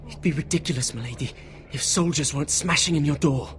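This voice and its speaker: A man's voice: a little high, quick pace, close to an everyday voice. A man voicing an urgent loyal servant. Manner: urgent, deferential. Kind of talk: video game voice acting. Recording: game audio with music underneath.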